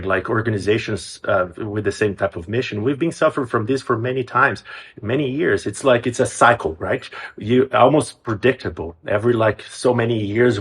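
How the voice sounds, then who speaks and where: A man from Brazil speaks in a low voice, earnest and searching for words. He restarts phrases as he goes, answering an interviewer with steady conviction. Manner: earnest, with frequent restarts and hesitations. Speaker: executive director of a music program.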